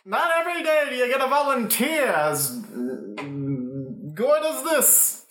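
A performer playing a folksy, bossy old-time detective with a halting drawl in an improvised radio play. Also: medium pitch, huge pitch swings, a bit bright, heavily acted. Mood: folksy, self-assured. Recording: echoey room.

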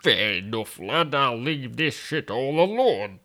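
A man's voice: mid-range voice, sing-song, slow pace, pushed and bright, heavily acted. A man voicing a foul-mouthed, grumbling Yorkshire man of forty with a Yorkshire accent. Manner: cursing, grumbling, exasperated.

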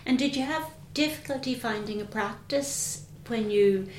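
A woman who is a doctor hosts a podcast, introducing her guest and asking him clear, measured questions. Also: a little high, echoey room, a little hiss or hum, low-quality recording. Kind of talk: podcast interviewing.

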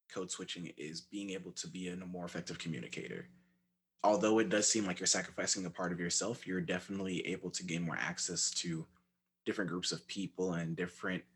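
A young man who is a former resident assistant speaks as a panelist in a casual discussion, explaining his points in a measured, articulate way. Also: sits low, a bit bright, slight background noise.

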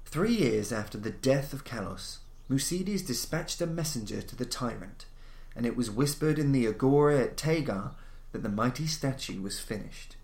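A man reading a literary horror story as scripted narration, in a measured, formal storytelling manner. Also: mid-range voice. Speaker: audiobook-style narrator of classic horror stories. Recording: roomy, echoing voice recording.

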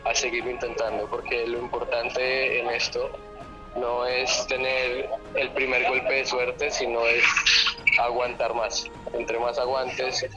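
A Colombian painter answering interview questions in an earnest, sincere way, his pace quickening when he gets excited. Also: mid-range voice, dull audio, over loud music.

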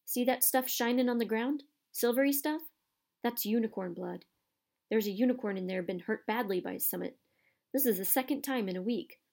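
Storybook gamekeeper female narrator performing: big gruff man, rustic dialect, gravel in the voice, fierce yet protective. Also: on the high side, normal speed, darkish tone. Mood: serious, instructing. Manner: growling, rustic dialect with dropped word endings. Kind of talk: audiobook character voice.

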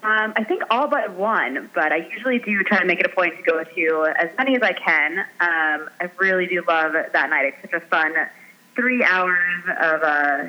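A woman's voice: fairly low pitch, moderate pace, pushed and bright. A woman calling into a radio show as a guest, talking upbeat and enthusiastic over a phone line. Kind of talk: casual chat.

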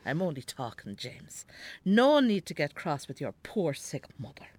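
A woman's voice: low-pitched, big pitch swings, normal speed, darkish tone, no echo. An actress playing a sick, elderly Irish mother in a radio drama, demanding and teasing, with a plaintive, contrary manner. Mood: plaintive, fussing. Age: older. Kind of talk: naturalistic radio drama acting.

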